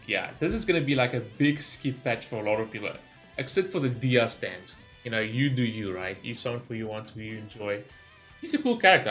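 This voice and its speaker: A man gives casual reaction commentary for a gaming channel. He rambles and thinks aloud in an informal way, with a mid-pitched voice over faint music. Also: pushed and bright.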